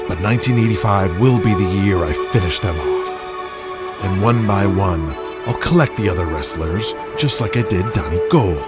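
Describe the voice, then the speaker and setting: Man acting pompous, low-voiced wrestling empire tyrant. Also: lightly acted. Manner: pompous, menacing, speechifying. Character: ruthless, gloating male wrestling empire boss. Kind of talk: audio drama acting.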